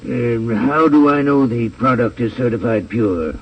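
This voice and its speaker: An actor playing a cautious, businesslike American drug wholesaler with a hesitant manner in a 1950s radio drama. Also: male voice, mid-range voice, unhurried, breathy edge. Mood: wary. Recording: distant, dull, noisy old radio transcription.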